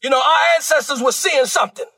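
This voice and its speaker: A male preacher delivering a fiery sermon, shouting with fervent, animated energy and asking and answering his own questions. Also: very high voice, quick pace.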